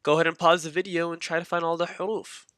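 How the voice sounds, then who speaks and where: A man's voice: fairly high pitch, level tone, fast, fairly bright tone, smooth, no echo, studio quality. A man teaching a beginner grammar lesson in a casual, patient way, repeating his points with many fillers.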